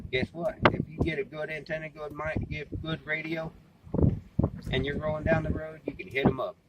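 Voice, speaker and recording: Folksy American man, noisy recording. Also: on the high side. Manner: folksy, plain-spoken, repeats phrases. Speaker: vlogger and CB radio hobbyist. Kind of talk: casual rambling talk to camera. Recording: clearly noisy background, amateur recording.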